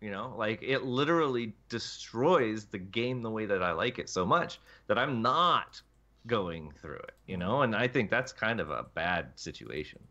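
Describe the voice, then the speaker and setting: Male gaming podcaster, lowish voice with a sharp edge, emphatic and long-winded, casual delivery over lo-fi chat audio. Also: big pitch swings, moderate pace. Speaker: podcast panelist. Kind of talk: casual panel discussion about a video game.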